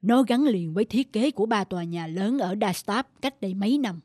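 Old cartoon professor: grave, measured, reserved but fair. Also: male voice, sits high, expressive, warm tone, no room sound, studio quality. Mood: stern, dismissive. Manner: measured, admonishing. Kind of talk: animated cartoon dubbing.

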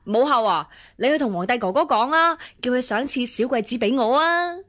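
Young princess, Hong Kong Cantonese, very high bright voice, whiny, coaxing, radio drama. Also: female voice, lively intonation, normal speed. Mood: whiny, wheedling. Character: spoiled, wheedling young imperial princess.

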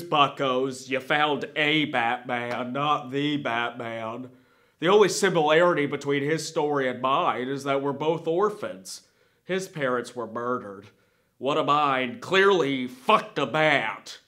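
Man as a grumpy, slow-talking bat man. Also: mid-range voice, bright, forceful tone. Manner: weary, irritated. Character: grumpy, deadpan half-bat man living in a cave. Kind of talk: character comedy monologue.

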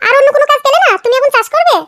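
Extremely squeaky, pert housewife. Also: female voice, rapid-fire, fairly bright tone. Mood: pert, teasing. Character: squeaky, teasing young village housewife. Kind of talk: comic Bengali village cartoon dub.

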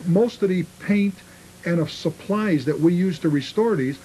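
A man's voice: high-pitched, moderate pace, warm tone, slight background noise, low-quality recording. A man giving informal answers in an interview about his craft. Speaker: model train restorer being interviewed.

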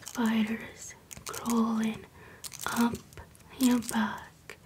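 A woman whispering an ASMR rhyme in a slow, rhythmic chant and gently coaxing the listener, close to the mic in a small room. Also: a little high, flat delivery, background noise. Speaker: ASMR creator.

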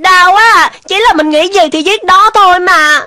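Casting: easily scared schoolboy in cartoon dub, whiny and very high-pitched, over clear music. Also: female voice, moderate pace. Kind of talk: Vietnamese cartoon dubbing. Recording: music behind the voice.

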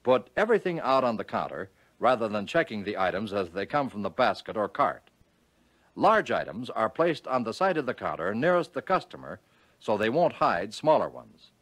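An American man reading scripted narration for a 1940s instructional film, giving key phrases measured, instructive emphasis. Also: on the low side, big pitch swings, fairly bright tone, dry recording.

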